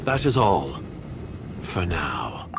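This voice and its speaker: A man playing a secretive, disdainful conspirator in a video game, speaking in a measured, guarded and formal manner. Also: sits low, sing-song, creaky, noisy background, full character voice. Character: wary, secretive foreign conspirator, curt and disdainful. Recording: game music behind the voice.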